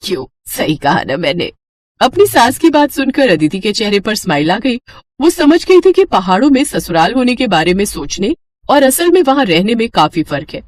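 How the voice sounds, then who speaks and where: Lively-pitched woman narrating a story. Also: moderate pace.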